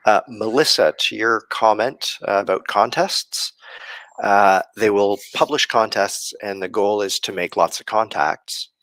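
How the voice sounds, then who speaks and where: A man hosting a podcast live stream, explaining things casually in a halting, thoughtful way with frequent pauses. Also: on the low side, fairly bright tone, no room sound. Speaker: podcast host.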